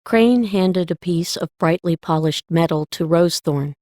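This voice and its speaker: A woman's voice: fairly low pitch, normal speed, darkish tone, dry recording, studio quality. A woman narrating a scripted story as an audiobook reader.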